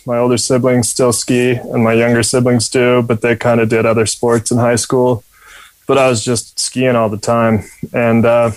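A man's voice: mid-range voice, no echo, low-quality recording. A young American man in his twenties from Utah, answering interview questions in an easygoing, casual way. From Utah, United States. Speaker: athlete interviewee.

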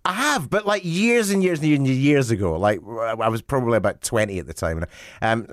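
A Scottish man whose pitch swings up and down as he banters with his co-host. The sound is dry and close-miked. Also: lively intonation, brisk, no room sound. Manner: laughs often, warm banter. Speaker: radio co-host. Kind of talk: casual chat.

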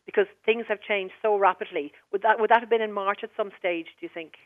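An Irish woman, a hospital director of midwifery, speaks briskly and reassuringly in a radio interview. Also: mid-range voice, no room sound. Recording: tinny phone line.

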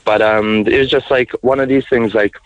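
A man's voice: mid-range voice, steady tone, moderate pace, no echo. A man in his twenties calling in to a radio show, talking in a rambling way full of fillers. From mixed, raised largely in the United States. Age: 20s. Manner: rambling, heavy with fillers like "you know" and "like". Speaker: caller. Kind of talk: radio phone-in call. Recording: muffled phone-in line.

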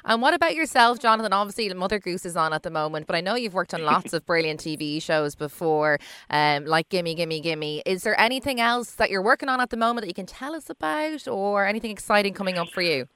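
A woman's voice: mid-range voice, lively intonation, fast talker, hardly any pauses, a bit bright, smooth, no room sound. A woman interviewing casually as a radio host, chatty and effusive.

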